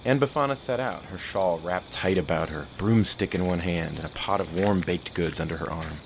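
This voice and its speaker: A man narrating a story and gently reassuring a girl in an audio drama. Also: deep voice, normal speed, noisy background. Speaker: narrator and family friend. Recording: music under the voice.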